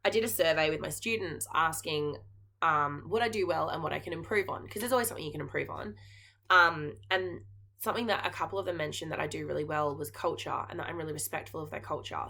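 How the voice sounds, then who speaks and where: A woman's voice: deep voice, fast, gravelly, bright, forceful tone, slight background noise. An Australian woman, a knitting podcast host and geography teacher, chatting earnestly to camera with lots of ums and likes. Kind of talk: casual chat to camera.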